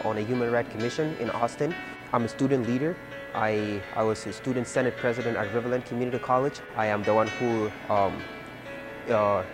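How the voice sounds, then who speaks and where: A young man from Ethiopia, a student leader, telling his story earnestly with many fillers. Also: mid-range voice. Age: young adult. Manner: earnest, halting with frequent fillers. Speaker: community college student leader. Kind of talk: personal interview testimony. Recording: music bed under the voice.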